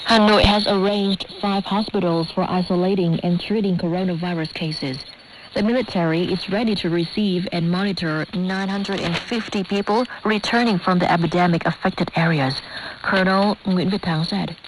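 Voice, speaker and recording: A woman reading a formal news bulletin in a crisp, scripted way, heard through a shortwave radio broadcast with static. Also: on the low side, fairly even intonation, moderate pace. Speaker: newsreader.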